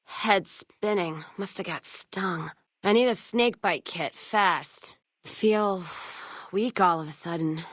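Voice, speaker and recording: Hard-bitten frontier caravan woman, low pitch, American accent, sardonic folksy slang, foul-mouthed, dry studio sound, video game character. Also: slow, no room sound. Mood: dazed.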